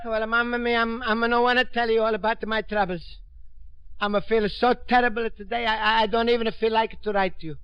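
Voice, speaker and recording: Fretful high-pitched Italian immigrant. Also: male voice, level tone, fairly quick, smooth, highs cut off, faint music behind. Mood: dejected. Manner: stammering, broken English. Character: earnest, easily hurt Italian immigrant man, fretful and naive. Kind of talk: 1950s radio situation comedy acting.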